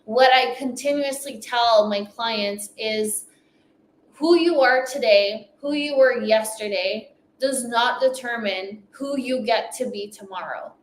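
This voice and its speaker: A woman's voice: on the high side, slow, reverberant, a little hiss or hum, rough recording. A woman whose first language is French, speaking with a light French accent and giving an emphatic motivational talk at a summit, repeating phrases for effect. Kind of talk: motivational speech.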